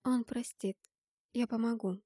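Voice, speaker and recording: A woman's voice: a little high, steady tone, unhurried, warm tone, breathy edge, no room sound, clean studio sound. A woman voicing a stern, protective fantasy heroine, firm, emphatic and tense, in an audiobook story.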